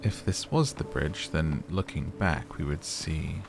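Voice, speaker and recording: A man with a deep, low voice talks his way through a calm exploration game, easygoing and chatty, turning to his viewers now and then. Gentle game music plays clearly behind him. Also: a little slow. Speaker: gaming YouTuber. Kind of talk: relaxed game playthrough commentary. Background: soft game music.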